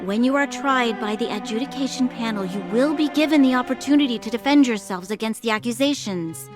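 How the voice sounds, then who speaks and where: A woman plays a young female commander in a game. Her voice is high, formal and authoritative. She proclaims solemnly and with dignity over prominent orchestral music. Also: brisk, natural voice. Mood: stern, commanding.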